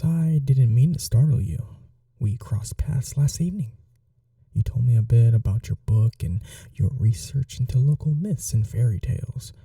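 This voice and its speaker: A man playing a gentle, old-world vampire gentleman in an intimate ASMR roleplay, speaking in a polite, soothing, softly formal way. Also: medium pitch, dry recording, distant mic. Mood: gentle, reassuring.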